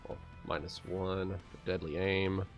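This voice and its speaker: A man's voice: sits low, little pitch movement, unhurried, faint music behind. A man casually playing a tabletop roleplaying game, working out his rolls and numbers aloud.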